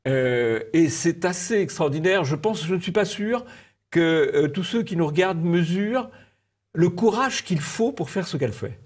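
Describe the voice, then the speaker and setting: A man giving conversational political commentary in halting, repeated phrases. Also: fairly high pitch, animated, breathy edge. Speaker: media commentator.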